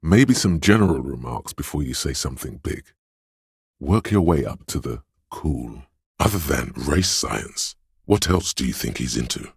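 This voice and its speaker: An extremely low, heavily rasping male voice plays a scheming inner voice in a video game. He narrates straight to the player with measured, coaxing insinuation. The sound is dry and close, with a gravelly creak under the words. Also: expressive, no echo, heavily acted. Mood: sly, measured. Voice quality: gravelly, husky bass. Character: smooth, sly, scheming inner voice of persuasion, male. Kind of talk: scripted second-person game narration.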